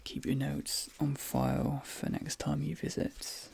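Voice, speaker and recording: A person whispering gently and reassuringly in an ASMR dentist role play, with unhurried short soft phrases, close to the microphone. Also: mid-range voice, wildly swooping pitch, a little hiss or hum. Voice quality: breathy whispering. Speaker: ASMR performer playing a dentist.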